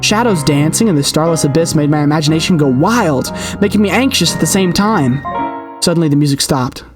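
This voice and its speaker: High-voiced man reading horror narration dramatically. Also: lively intonation, brisk, music in the background. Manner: theatrical, suspenseful delivery. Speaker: video game player narrating aloud.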